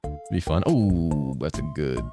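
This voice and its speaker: A young man streaming a video game, chatting casually with his viewers in a relaxed, rambling way, with a deep voice he holds slightly higher than its natural pitch. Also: a little slow, music in the background. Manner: laid-back, rambling, swears a lot, reads chat aloud. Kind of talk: casual live-stream chat while gaming.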